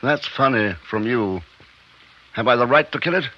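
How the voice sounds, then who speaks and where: An actor in a 1950s radio drama playing an obsessed scientist, speaking in intense, halting bursts, awed and fearful of what he has made. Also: medium pitch, natural voice. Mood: evasive, tense. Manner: intense, halting, talking to himself in short bursts. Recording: dull 1950s radio recording.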